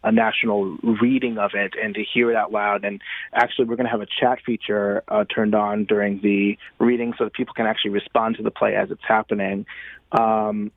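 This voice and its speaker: A dry, band-limited remote line carries an American man's voice with noticeable creak. He talks conversationally as an interview guest, feeling out his phrases in a halting, thoughtful way. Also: fairly low pitch, little pitch movement, no room sound. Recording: muffled, phone-like remote line.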